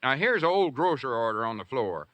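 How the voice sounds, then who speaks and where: Fussy, bright-voiced country schemer. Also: male voice, mid-range voice, very animated, a little fast, dry recording. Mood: intrigued. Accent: rural Southern US. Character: earnest, scheming country storekeeper, easily exasperated. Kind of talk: 1940s radio comedy acting.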